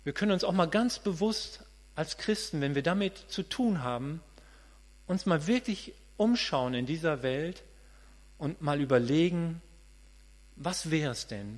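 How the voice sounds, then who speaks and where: A man's voice: fairly high pitch, animated, unhurried. A man in his late fifties giving a conversational, earnest sermon, often restarting and repeating words as he thinks aloud. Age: 50s. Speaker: preacher. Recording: reverberant hall with mains hum.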